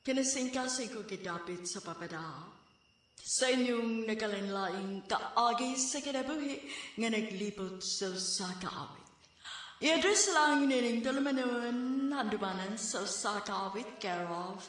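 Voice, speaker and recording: A woman's voice: on the high side, fairly bright tone. A woman reading scripted narration as a radio host. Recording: heavily echoing studio voice.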